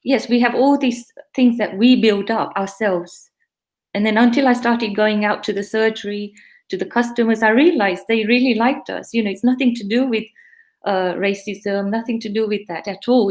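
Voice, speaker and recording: A woman's voice: mid-range voice, steady tone, normal speed, darkish tone. A middle-aged woman from East Africa with a mixed East African and English accent, talking earnestly and reflectively as a guest in a casual interview. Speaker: guest, pharmacy owner.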